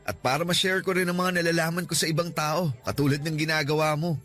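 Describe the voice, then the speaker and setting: A shy, sincere young man who talks softly and earnestly, his voice a touch above the usual pitch for a man. He speaks in a classic radio-drama style. Music runs under his lines. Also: steady tone, fast talker.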